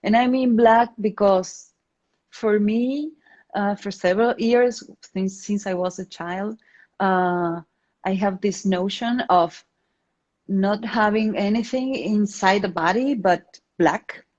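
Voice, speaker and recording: An Argentine woman talks casually about her own work as an interview guest. She speaks slowly and haltingly, feeling for words in English, which is not her first language. The sound is slightly dull, like an online call. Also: mid-range voice, darkish tone. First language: Spanish.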